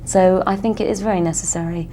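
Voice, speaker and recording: An English actress answering interview questions earnestly, with many "you know" fillers. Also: female voice, fairly low pitch, fairly even intonation, quick pace, mellow, slight background noise. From England.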